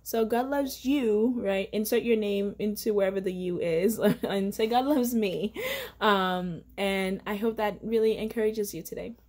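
A woman's voice: medium pitch, unhurried, a little mellow. A young woman, sharing a casual devotional encouragement to camera at home, earnest and chatty with many fillers. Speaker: faith vlogger. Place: indoor living room at home.

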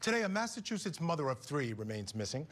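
A middle-aged man hosting a TV comment segment in a studio, talking with an animated, conversational manner on a lapel mic. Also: on the high side, quick pace. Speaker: TV news host.

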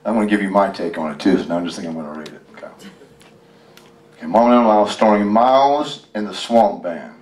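A man's voice: deep voice, fast, a little mellow. An American man reading a picture book aloud at a casual storytime, joking and chatting with folksy asides. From United States, Western Pennsylvania. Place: library room, live event. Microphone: handheld microphone held by a helper. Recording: roomy, distant from the microphone.

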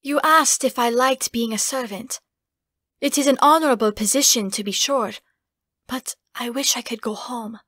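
A woman's voice: fairly high pitch, moderate pace, sharp tone, dry recording, studio quality. A woman voicing a homesick, earnest young servant in a fantasy audiobook, wistful and deferential. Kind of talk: fantasy audiobook character acting.

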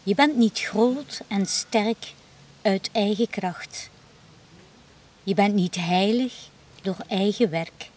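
Female reader, quiet and reflective devotional meditation, unhurried. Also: mid-range voice. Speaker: prayer reader.

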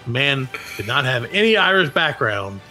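A man's voice: medium pitch, sing-song, normal speed, over music. A middle-aged man co-hosting a comedy podcast over a video call, reading odd news stories aloud in a joking, self-mocking way. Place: dim room at home.

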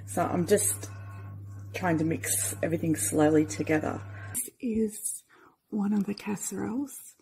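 A woman's voice: fairly low pitch, measured pace, gravelly, a little hiss or hum, poor audio. A woman casually talking through her cooking in a home kitchen, chatty with trailing fillers, filmed on a handheld phone. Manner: chatty, trailing fillers, sometimes soft and near-whispered. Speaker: cooking vlogger. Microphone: handheld phone camera.

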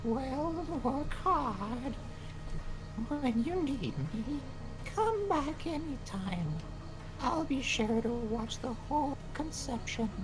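A woman voicing a gossipy, saucy old village midwife in a fantasy game dub, telling things in a deliberate way. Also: sits high, animated, low-quality recording. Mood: teasing. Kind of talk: amateur video game dub.

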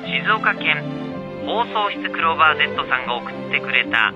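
An actor playing a punchy radio contest announcer in a radio drama. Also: male voice, a little high, animated, moderate pace, music in the background, close to an everyday voice. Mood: announcing. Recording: muffled radio broadcast sound.

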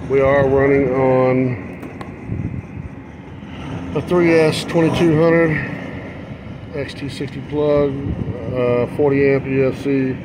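A man's voice: steady tone, takes it slowly, pauses often. A man giving a casual hands-on review and commentary as a hobbyist host outdoors at a flying field. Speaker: RC plane hobbyist, vlog host. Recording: noisy outdoor camera audio, engine rumble. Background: outdoor ambience and motor noise.

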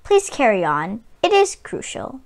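A woman's voice: on the high side, wildly swooping pitch, unhurried, exaggerated voice. A woman voicing a serious, insistent male warrior in a children's storybook.

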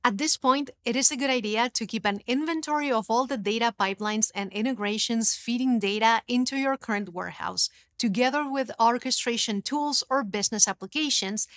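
A woman in her thirties presenting a scripted technical explainer in a studio, in a clear, even, instructive way. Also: on the high side, brisk, few pauses, no echo. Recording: dry studio sound.

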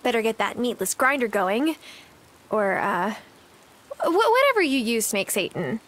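A woman's voice: a little high, sing-song, measured pace, a bit bright, full character voice. A woman voicing a friendly young farm woman in scripted game dialogue. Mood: helpful.

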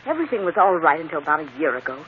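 A woman's voice: deep voice, big pitch swings, very fast, slight background noise. Frightened young lady, tinny old radio. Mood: troubled, hesitant. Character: frightened, fretful young woman pleading for protection. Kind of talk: old-time radio drama acting.

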